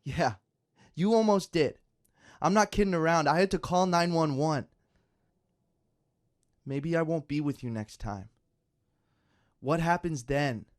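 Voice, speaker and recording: A man's voice: a little high, unhurried, pauses often, natural voice. A young man acting in a naturalistic radio drama as a worried, exasperated friend, urgent and earnest. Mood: exasperated, pleading.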